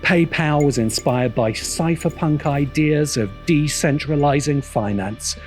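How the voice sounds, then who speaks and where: A man's voice: fairly high pitch, darkish tone. Male video essayist, widely swinging intonation, scripted narration, dramatic and scornful, emphatic phrasing, faint music underneath. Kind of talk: scripted narration, polemical commentary. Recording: music bed behind voice. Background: background music.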